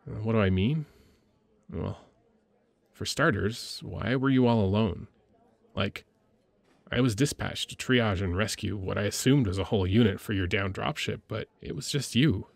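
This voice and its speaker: A man acts out a military medic lieutenant from a sci-fi audio drama, deep-voiced with a gravelly edge. He talks in a casual, teasing, slightly hesitant way, caring underneath the gruffness. The sound is dry and intimate. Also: moderate pace, no echo. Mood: curious, suspicious. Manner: informal, hesitant, teasing, conversational. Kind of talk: intimate ASMR audio roleplay acting.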